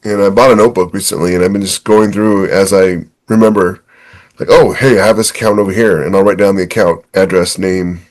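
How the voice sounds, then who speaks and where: A man's voice: deep voice, steady tone, brisk. A man co-hosting a podcast in casual banter, with a wry, blunt way of talking. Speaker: podcast co-host and author.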